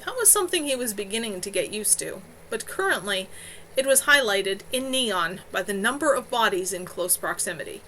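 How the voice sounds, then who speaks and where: A woman reads fiction aloud in an expressive, storytelling style. Her voice is bright and forward, with a very lively pitch that moves between narration and animated dialogue. There is faint noise in the background of the home recording. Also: normal speed, low-quality recording. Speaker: author reading own novel. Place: at home on a live stream.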